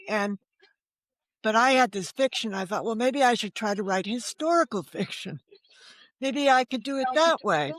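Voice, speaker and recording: An older woman talks as an interview guest, her voice creaky with fry and recorded dry and close. She tells personal stories frankly, with wry, self-deprecating asides and bits of quoted dialogue. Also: medium pitch, a bit bright, no echo, low-quality recording. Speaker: podcast guest, novelist. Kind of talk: candid personal storytelling in an interview.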